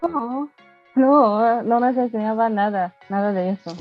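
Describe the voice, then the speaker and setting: Slow, careful woman learning Spanish. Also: on the high side, narrow, tinny audio, soft background music. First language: not Spanish. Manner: careful, hesitant with many fillers. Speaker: guest, language learner. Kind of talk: casual interview answers.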